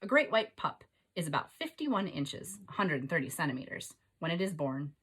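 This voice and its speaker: Expressive woman teacher with a husky voice. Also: fairly low pitch, normal speed. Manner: instructional, addresses listeners with questions and prompts. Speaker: teacher reading a children's book aloud. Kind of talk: expressive read-aloud and lesson for young children.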